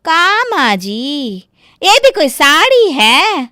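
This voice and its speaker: Woman doing high swoopy young bahu in a moral story, drawls her words, sugary but spoiled. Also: big pitch swings, sharp tone, no echo, clean studio sound, full character voice. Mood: haughty, complaining. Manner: theatrical, drawn-out, wheedling delivery. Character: young village-born daughter-in-law, sugary then haughty and demanding. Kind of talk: dramatised Hindi moral story, cartoon-like acting.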